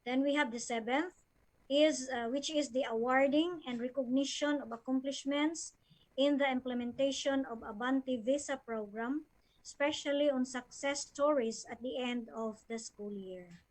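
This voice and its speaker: Female presenter, Filipino accent, high voice, formal and measured delivery of an official plan. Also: low-quality recording. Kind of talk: formal presentation read from slides.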